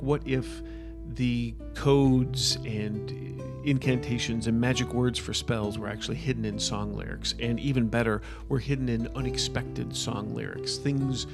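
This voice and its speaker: A man's voice: medium pitch, vocal fry. A man giving informal, loosely phrased spoken commentary as an author.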